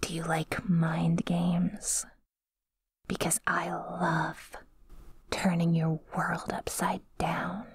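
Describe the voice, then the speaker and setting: A possessive female demon, acted by a woman whispers in a very breathy voice, close and dry. She draws her words out very slowly, taunting and intimate, in a menacing ASMR roleplay style. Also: on the low side, monotone, no room sound, exaggerated voice. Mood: possessive, gloating. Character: possessive, menacing, teasing female yandere sleep paralysis demon.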